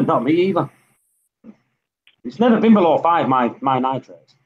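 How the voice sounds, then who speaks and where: A man's voice: on the high side, lively intonation, moderate pace. A British man talking casually in an online hobby chat. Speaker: community chat participant. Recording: dull lo-fi voice chat, faint noise.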